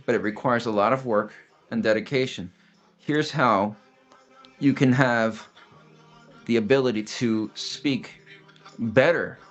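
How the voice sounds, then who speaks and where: A man's voice: medium pitch, a bit bright, highs cut off. A man, casually explaining study steps as a guest in a chat, blunt and fond of restarts.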